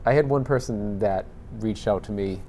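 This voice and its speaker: A man's voice: lowish voice, normal speed, warm tone, a little hiss or hum. A man hosting a panel discussion, asking long searching questions in a conversational way. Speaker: podcast host.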